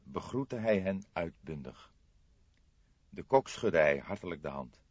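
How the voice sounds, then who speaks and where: A man reading a crime novel aloud as an audiobook narrator, in expressive scripted narration. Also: low-pitched, husky, dry recording. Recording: dull lo-fi dry studio sound.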